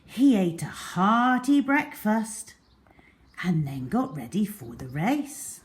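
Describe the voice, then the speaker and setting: Very slow, animated woman storyteller. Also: mid-range voice. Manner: theatrical, deliberate, with hushed phrase endings. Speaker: children's storyteller. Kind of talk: expressive storybook narration for young children.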